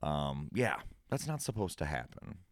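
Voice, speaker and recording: Deep deep voiced 30s guy on a podcast, smooth, laid back, rambles on, dry sound. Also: very animated, unhurried, no echo. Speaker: podcast co-host. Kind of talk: casual chat.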